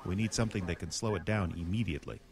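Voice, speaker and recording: A man's voice: deep voice, racing, gravelly, darkish tone, slight background noise. A man acting a blunt, decisive small-town lawman leading a monster hunt in a horror audio drama, speaking in a dry, clipped way. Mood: grim, decisive.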